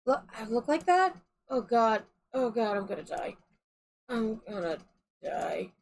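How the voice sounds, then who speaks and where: A woman's voice: medium pitch, measured pace, fairly bright tone. A woman voicing an anguished, dying young woman in a story reading, pleading and full of self-loathing. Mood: frightened.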